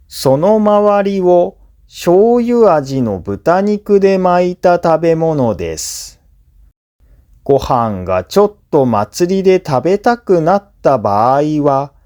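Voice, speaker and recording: A man who is a native Japanese speaker, reading scripted listening practice for learners in a clear, simple explanatory way. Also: on the high side, warm tone. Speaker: language teacher and narrator.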